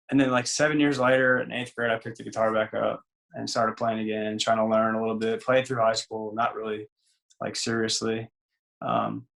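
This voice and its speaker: Young man, raspy gravelly voice, casual rambling chat, interview guest. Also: medium pitch, fairly bright tone, low-quality recording. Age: 20s. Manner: rambling, loose, with many fillers and restarts. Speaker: interview guest, singer and former college baseball player.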